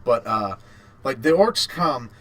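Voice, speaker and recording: Slow, casual man explaining as a fan. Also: mid-range voice, animated, sharp tone. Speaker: podcast co-host. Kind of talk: casual, enthusiastic fan chat.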